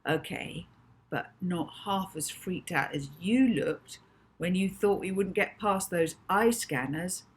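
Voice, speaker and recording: A woman voicing a confident, bossy young girl in a children's storybook, speaking deliberately. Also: lowish voice. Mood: pleased, teasing. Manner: deliberate, instructing. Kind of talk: children's storybook character dialogue.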